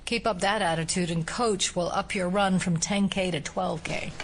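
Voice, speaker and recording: An actress playing a sceptical, no-nonsense FBI agent in an audio drama, speaking in a dry, measured way. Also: female voice, lowish voice, steady tone, lightly acted. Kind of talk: naturalistic audio drama acting. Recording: clear background noise.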